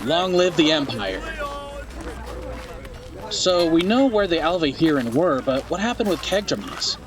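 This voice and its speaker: A man's voice: fairly high pitch, sing-song, unhurried. Man playing a curious fantasy companion, slightly distant. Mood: cheerful curiosity. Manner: inquisitive, conversational. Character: curious, eager male listener in a fantasy empire. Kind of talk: fantasy audio drama dialogue.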